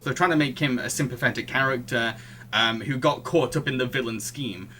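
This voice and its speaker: A man's voice: medium pitch, brisk, sharp tone, slight background noise. A British man hosting a podcast, chatting casually and laughing often. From United Kingdom. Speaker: podcast host. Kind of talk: casual chat.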